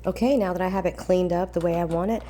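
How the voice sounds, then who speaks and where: Low-voiced woman with a stuffy voice. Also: quick pace, a little hiss or hum. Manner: calm, plain, practical explaining. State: slightly congested voice. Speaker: craft tutorial host. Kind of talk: step-by-step craft instruction.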